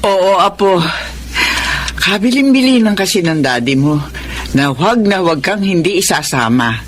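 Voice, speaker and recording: A fussing, tender old grandmother, voiced by an actress, with swooping, animated pitch. It is radio drama acting with faint noise behind. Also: moderate pace, lightly acted. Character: caring, fussing Filipino grandmother.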